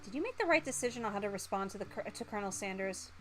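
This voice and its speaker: A woman's voice: medium pitch, expressive, racing, fairly bright tone. A woman livestreamer reading a visual novel aloud in a theatrical, giggly, playful way. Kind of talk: playful game streaming, reading story narration aloud. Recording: music behind the voice. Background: music.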